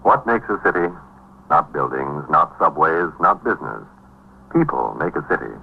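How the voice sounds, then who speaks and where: A man announcing a 1950s radio program in a solemn, dramatic, scripted style with deliberate phrasing. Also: rumbling low, very animated, creaky, husky. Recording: muffled 1950s radio recording.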